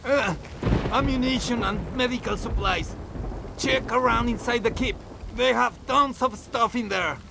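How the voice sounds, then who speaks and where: A terrified guerrilla guard from a video game. His voice is extremely high and creaky, fearful and accusing, in clearly noisy game audio. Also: a little slow, heavily acted. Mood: frightened.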